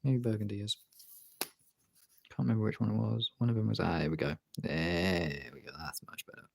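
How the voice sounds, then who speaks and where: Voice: low-pitched, fairly even intonation, normal speed, vocal fry, a little hiss or hum. A British streamer chatting casually and informally to viewers, thinking aloud and swearing now and then. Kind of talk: casual livestream chat.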